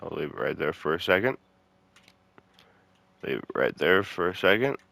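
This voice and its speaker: Casting: male gameplay host, low bright voice, casual and laid-back, close dry sound. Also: very animated, normal speed, gravelly, dry recording. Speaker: gaming video host.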